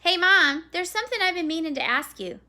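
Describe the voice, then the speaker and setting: Storybook part: earnest, boyish kid acted by a woman, emphatic and eager, high pitch with lively swings. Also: a little fast, full character voice. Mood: eager, hesitant. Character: eager, earnest young boy, scornful of fraidy cats.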